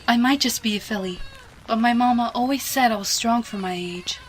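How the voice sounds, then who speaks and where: A woman giving a hushed, expressive, dramatic reading of a suspense story, with music behind her. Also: fairly high pitch, fairly quick, very noisy. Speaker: fan fiction reader.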